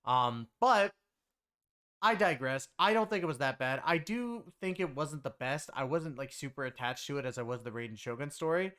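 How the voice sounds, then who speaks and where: A man's voice: mid-range voice, expressive, normal speed, fairly bright tone, clean tone. A man giving a casual, rambling game story review in a conversational way, leaning on filler phrases. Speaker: game reviewer and content creator.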